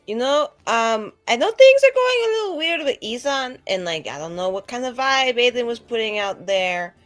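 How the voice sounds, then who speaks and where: High, animated woman in casual roleplay. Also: moderate pace. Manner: rambling and conversational, heavy use of like. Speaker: tabletop roleplaying player. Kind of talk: improvised in-character casual dialogue. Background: music.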